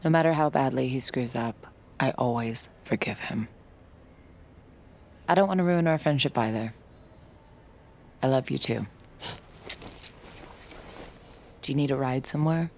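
A woman's voice: very deep voice, moderate pace, long pauses, darkish tone, slight background noise. An actress playing a guarded, forgiving young woman with a secret gift, in understated audio drama dialogue and confiding inner monologue. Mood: guarded, resigned.

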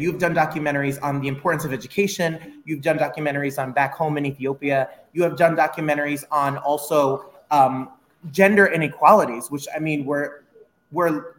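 A man, a musical theatre teacher, interviewing a guest for his students, encouraging and explaining terms as he goes. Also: a little high. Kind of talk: classroom interview.

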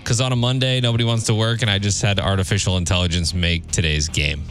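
A man's voice: lowish voice, fairly even intonation, fast talker, a bit bright. A man hosting a radio show, reading out trivia questions and bantering as quizmaster. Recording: music bed behind the voice. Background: background music.

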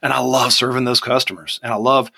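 A man in his forties with a Southern accent, telling stories in a relaxed interview and acting out quoted dialogue. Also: mid-range voice, brisk, vocal fry, no room sound, studio quality. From Georgia, US. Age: 40s. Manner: animated storyteller, acts out quoted dialogue. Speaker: guest, online onion seller. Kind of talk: casual interview chat.

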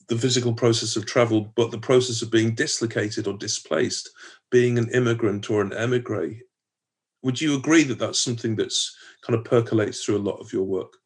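A man hosting a podcast interview, asking long winding questions with enthusiasm and laughter. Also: medium pitch.

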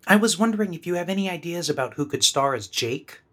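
An actor playing an eager, flattering, scheming talent agent in an audio comedy. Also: male voice, a little high, quick pace. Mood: ingratiating. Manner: eager, ingratiating. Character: smooth-talking, flattering, scheming young male talent agent.

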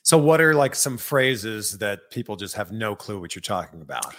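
Playful interviewer, lively pitch. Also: normal speed. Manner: playful, teasing questions. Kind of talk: casual chat.

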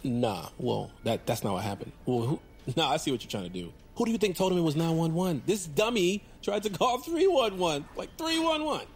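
An actor playing a boastful, defensive goofball bounty hunter who rambles in casual slang, in a naturalistic comedic audio drama. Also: male voice, fairly high pitch, lively intonation, brisk, hardly any pauses, a little hiss or hum.

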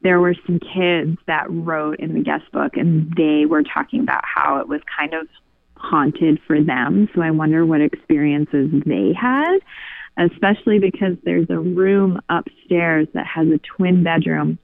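A woman's voice: sits low, measured pace, vocal fry, dry recording. A woman caller telling a story casually over a phone line. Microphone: phone call. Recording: narrow tinny phone line.

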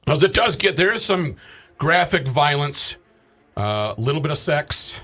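A man with a husky voice co-hosting a radio show, reminiscing casually with joking, self-mocking interjections. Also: on the high side, sing-song, moderate pace, fairly bright tone.

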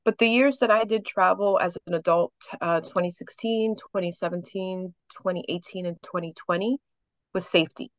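Chatty, slightly low-voiced woman. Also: normal speed, no room sound, muffled recording. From New York City. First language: Haitian Creole. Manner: chatty, reflective, laughs often. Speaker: author and guest. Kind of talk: podcast interview.

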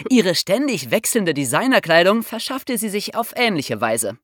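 A woman with a German accent tells a true-crime story as a podcast host, her pitch swinging widely as she goes. She keeps it casual, with wry asides and quick laughs. The sound is dry and close to the mic. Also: sing-song, dry recording.

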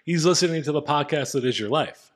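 A man's voice: a little high, fast talker. A man co-hosting a podcast in casual, joking banter that can turn profane. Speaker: podcast co-host.

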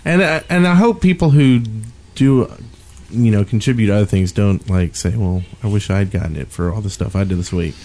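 A man's voice: fairly low pitch, brisk, mellow. A man co-hosting a podcast in casual, off-the-cuff chat with friends. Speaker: podcast co-host.

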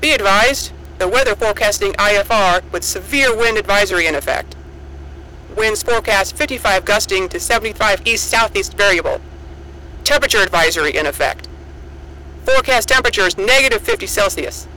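An actor playing a brisk, caring male radio operator at a polar station, procedural and clipped, in an audio drama. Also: high-pitched, sharp tone, slight background noise, poor audio. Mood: businesslike, collegial.

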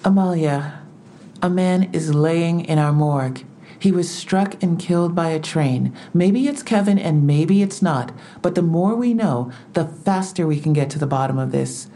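Female police investigator, audio drama: low-voiced, wry, measured, unruffled. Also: warm tone, slight background noise. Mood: calm, pressing. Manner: matter-of-fact, dry, measured. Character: composed, wry woman police investigator from the city. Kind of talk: full-cast audio drama acting.

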